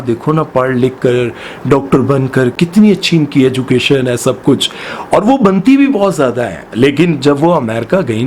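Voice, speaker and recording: A man's voice: medium pitch, darkish tone, slight background noise. Impassioned man commenting on news. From Pakistan. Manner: impassioned, full of rhetorical questions.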